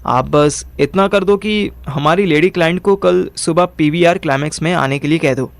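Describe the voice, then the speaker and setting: A man's voice: on the high side, moderate pace, vocal fry, no room sound. A young man narrating a first-person audio drama in colloquial Hindi mixed with English words. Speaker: narrator and lead character.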